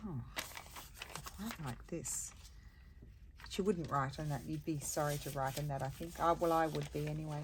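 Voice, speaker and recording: A woman with a croaky voice, chatting casually and thinking aloud as she makes paper crafts at her craft table. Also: low voice, normal speed, pauses often, a little hiss or hum. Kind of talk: casual crafting chat while working.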